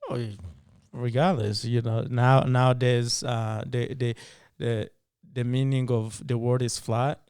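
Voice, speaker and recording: A man from Burundi debating on a podcast, pressing with probing questions. His voice is smooth and resonant at an ordinary male pitch. The sound is dry and close-miked. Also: flat delivery, measured pace, a little mellow, no echo. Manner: probing, questioning, laughs easily.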